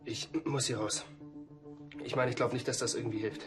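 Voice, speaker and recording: An actor playing a shaken, evasive young police officer in a TV crime drama. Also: male voice, mid-range voice, fairly even intonation, brisk, loud music behind the voice, natural voice.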